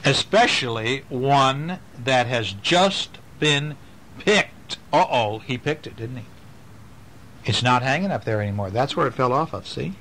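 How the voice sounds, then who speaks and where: Dad reading a picture book to his kids, singsongy and gentle, bit of hiss. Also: mid-range voice, a little slow. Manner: gentle, animated storytelling with questions to the kids. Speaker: father reading to his children. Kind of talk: bedtime story reading.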